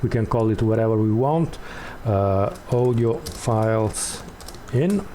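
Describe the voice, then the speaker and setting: Male tutorial host, unhurried and halting, slightly soft-toned, with light background noise. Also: on the low side, lively intonation. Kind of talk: step-by-step screen tutorial, unscripted explanation.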